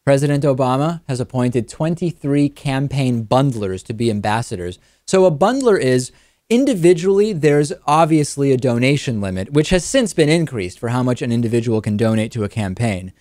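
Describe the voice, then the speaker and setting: A man's voice: medium pitch, expressive, normal speed, darkish tone, no room sound. Man hosting talk show, very dry sound. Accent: American. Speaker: talk show host and interviewer. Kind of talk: conversational political commentary.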